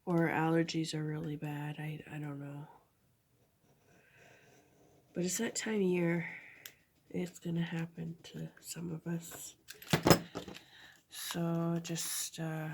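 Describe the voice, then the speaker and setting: A woman with a stuffy nose from a cold, chatting through a craft tutorial at her table, halting often and correcting herself. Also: low-pitched, little pitch movement, creaky, a little hiss or hum. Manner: halting, chatty, many fillers and self-corrections. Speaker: crafter demonstrating a project.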